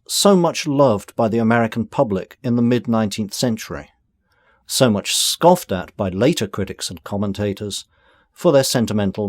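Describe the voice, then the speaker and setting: A man's voice: mid-range voice, dry recording. Man introducing poetry, dry close sound. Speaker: introducer of poems. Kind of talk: scripted literary introduction.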